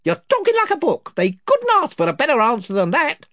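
A man's voice: very high-pitched, huge pitch swings, a little fast, bright, forceful tone, studio quality, voice pushed up, full character voice. A man voicing a chorus of invisible creatures who fawn over and echo their chief. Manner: fawning, echoing their chief.

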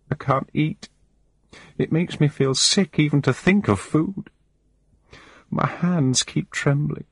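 A distraught middle-aged woman pleads in a trembling, tearful voice, as a male narrator puts her on. Her voice sits far above the reader's own low register and keeps a husky edge. The sound is close and dry, with no room around it. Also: no room sound, exaggerated voice.

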